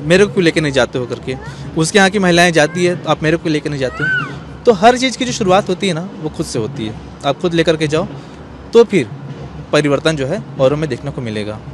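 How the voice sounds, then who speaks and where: A man in his thirties answering earnestly as an interview guest, outdoors into a handheld microphone. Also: high-pitched. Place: outdoor bench in an open courtyard. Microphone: handheld microphone held out by interviewer. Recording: faint outdoor urban noise.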